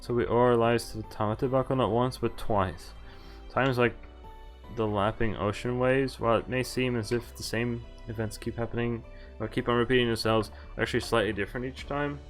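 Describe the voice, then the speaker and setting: Male game streamer, middle-pitched, reads story text aloud with casual sweary commentary, music behind. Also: fairly even intonation, fairly quick. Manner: casual, sweary, incredulous asides. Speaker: streamer playing a visual novel. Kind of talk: reading game text aloud with live reactions.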